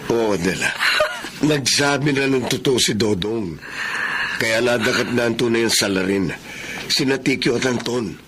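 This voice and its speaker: A man's voice: medium pitch, measured pace, noisy background, close to an everyday voice. A man acting as a grateful, heartfelt father freed from prison in a Tagalog radio drama. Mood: relieved, grateful.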